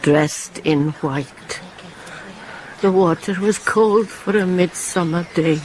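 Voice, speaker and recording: An actress playing an old Welsh village woman who clings to the old magics, telling a dark tale in a radio drama. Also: lowish voice. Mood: anguished. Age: older. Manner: slow, incantatory. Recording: noisy ambience behind the voice.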